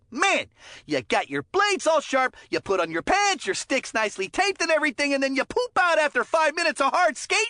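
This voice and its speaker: Cartoonish game hockey commentator, high-pitched, very animated, folksy, chummy, encouraging. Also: female voice, no echo, clean studio sound, exaggerated voice. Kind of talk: video game sports commentary voicelines.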